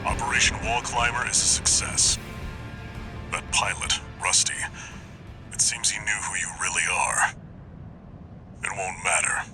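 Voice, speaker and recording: An actor playing a stern older mercenary handler in a video game, speaking in a terse, grave, controlled way over missions. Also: male voice, lowish voice, measured pace, pauses often, over music, close to an everyday voice. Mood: terse, commanding.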